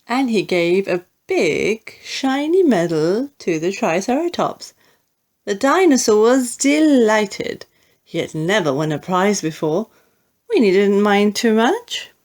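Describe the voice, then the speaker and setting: A woman reads a children's story as an audiobook narrator. Her pace is slow and deliberate, and her pitch moves in an animated, expressive way across a middling register.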